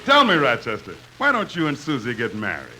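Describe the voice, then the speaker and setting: Helpful curious pal in radio comedy, animated highish voice, easygoing straight-man delivery, noisy old recording. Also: fairly quick, a crowd in the background. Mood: friendly, curious. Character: helpful, curious friend of a household servant. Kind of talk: 1950s radio comedy acting.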